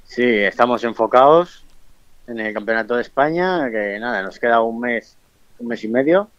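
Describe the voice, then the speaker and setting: A man's voice: medium pitch, lively intonation, moderate pace, no room sound, lo-fi sound. A man in his twenties from Colombia, speaking with a Bilbao accent, a professional boxer giving short, shy answers in an interview. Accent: Bilbao Spanish. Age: 20s.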